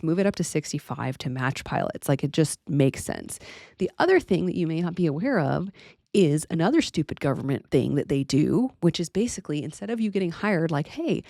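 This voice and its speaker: An American woman with a low voice talks straight to her viewers in a casual vlog style. She is blunt and colloquial, leaning hard on her points, and the sound is close and dry. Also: creaky, darkish tone, no room sound. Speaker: aviation vlogger and pilot. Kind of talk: casual opinion commentary to viewers.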